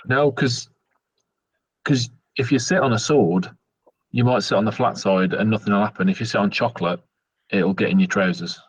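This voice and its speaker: A husky man with a fairly low voice, in a slightly muffled recording. He argues earnestly and haltingly as he banters through a tabletop game.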